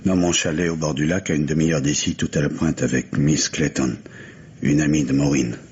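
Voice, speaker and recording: An actor playing an anguished, fearful father in an old-fashioned French radio mystery, with a halting, dramatic delivery. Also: a bass voice, fairly even intonation, darkish tone, breathy edge, full character voice. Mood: alarmed. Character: anguished father named Griffin, fearful for his daughter. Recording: dull, noisy old radio recording.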